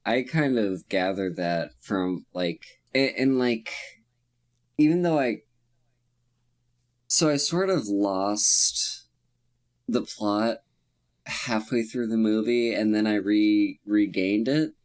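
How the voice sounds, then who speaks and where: A man's voice: lowish voice, takes it slowly, pauses often. A man co-hosting a casual film podcast, sniffly from a cold, rambling and hesitant as he searches for words. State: getting over a cold, sniffly. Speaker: podcast co-host.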